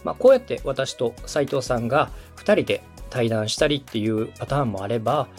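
Lively-pitched man explaining, music behind. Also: fairly quick. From Osaka, Japan. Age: 30s. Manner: polite, step-by-step explaining, checks in with listener. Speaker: podcast host and radio director. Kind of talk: explanatory podcast talk to a co-host.

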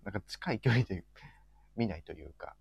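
A man's voice: low-pitched, expressive, a little fast, gravelly, slightly rough, no echo. A man chatting casually in a hesitant, hedging way. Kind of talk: casual chat.

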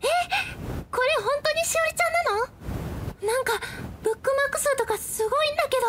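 A woman voicing a teenage schoolgirl who is the captain of a futsal club. She plays her as bright and easily flustered in a radio drama. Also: very high-pitched, fast, far from the mic, background noise. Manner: bright, flustered, also narrates her own thoughts.

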